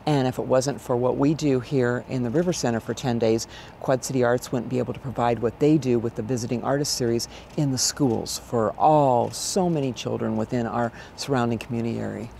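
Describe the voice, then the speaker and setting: Low, gravelly older American woman. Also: a little fast, dark tone, slight background noise. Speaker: event director, interview guest. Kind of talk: conversational interview answers. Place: large exhibition hall, indoors. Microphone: lapel mic.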